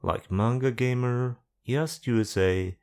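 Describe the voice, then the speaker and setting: A man calmly explaining a website's search features as a voice-over for a screen-recorded tutorial, listing the options one by one. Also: medium pitch, takes it slowly, clean tone. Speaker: video guide host. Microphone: voice-over over screen capture.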